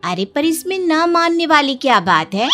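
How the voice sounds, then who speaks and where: A woman playing a skeptical, excitable, blunt village wife in a cartoon story. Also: high voice, normal speed, music in the background. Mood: skeptical, curious.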